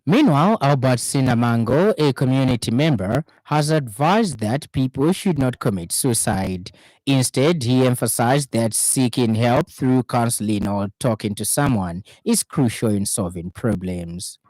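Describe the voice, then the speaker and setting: A man's voice: medium pitch, expressive, unhurried, a little mellow, dry recording, low-quality recording. Male Zambian radio news reader, formal scripted bulletin delivery, close dry sound. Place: radio studio.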